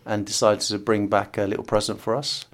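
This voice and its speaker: A man's voice: lowish voice, little pitch movement, fairly quick. A middle-aged man from the UK, a podcast guest talking casually with many fillers and restarts. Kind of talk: casual interview conversation.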